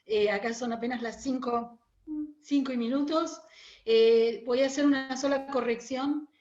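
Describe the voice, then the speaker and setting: High-voiced Rioplatense woman, muffled sound. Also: fairly even intonation, a little slow, a little mellow. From Montevideo, Uruguay. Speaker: guest, oral storyteller. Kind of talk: casual interview chat. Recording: muffled, roomy internet call.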